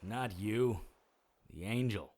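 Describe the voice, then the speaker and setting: A man's voice: lowish voice, takes it slowly, darkish tone. A man playing a battered, wry supernatural investigator in an audio drama, weary and dramatic. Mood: wry, confused. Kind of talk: audio drama acting with first-person monologue.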